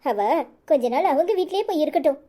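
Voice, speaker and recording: A woman's voice: high-pitched, animated, fast, warm tone. A woman voicing a concerned, sensible young village woman, sympathetic and advising in a cartoon drama.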